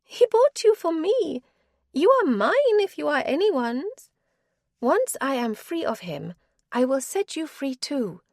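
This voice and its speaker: A woman voicing the mistress of a house, a wronged wife speaking in a sharp, reproachful way. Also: high-pitched, big pitch swings, takes it slowly, no echo, clean studio sound, pitched above the performer's own voice, heavily acted. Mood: resolute. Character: high, anguished mistress of the house, resolute. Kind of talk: audiobook character voice.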